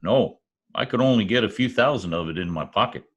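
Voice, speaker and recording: Man putting on a folksy, bashful cowboy, swooping pitch. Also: lively intonation, fairly quick, breathy edge. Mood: lonely, hinting. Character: bashful, folksy Oklahoma cowboy with oil money. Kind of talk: old-time radio comedy-drama acting.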